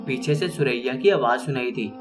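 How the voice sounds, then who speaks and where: A man's voice: medium pitch, moderate pace. A man narrating a moral story with heavy, emotive delivery. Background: background music.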